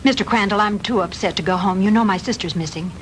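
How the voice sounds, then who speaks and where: An actress plays a troubled but determined woman in a 1960s B-movie melodrama. Her medium-pitched voice is earnest and anxious, on a slightly noisy old film soundtrack. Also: quick pace, close to an everyday voice. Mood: upset, determined. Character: anxious, determined woman searching for missing sister.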